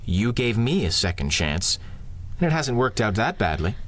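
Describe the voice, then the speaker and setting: Earnest, animated police detective. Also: male voice, on the low side, moderate pace, dry recording. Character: earnest, fair-minded male police detective. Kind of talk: 1930s-style radio drama acting.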